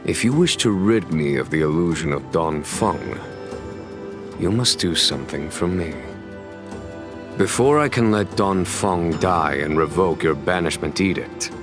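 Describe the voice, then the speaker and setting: A stately game general, really low voice, formal and composed, music behind. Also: male voice, expressive. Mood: solemn. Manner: measured, formal, eloquent. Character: composed, stately male general of a fantasy realm. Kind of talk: dramatic scripted game dialogue. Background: background music.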